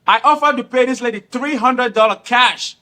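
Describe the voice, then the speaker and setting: Earnest man, bright voice. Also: very high voice, normal speed. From Cameroon. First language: not English. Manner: earnest, formal, repeats himself. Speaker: guest. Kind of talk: explaining himself in an interview.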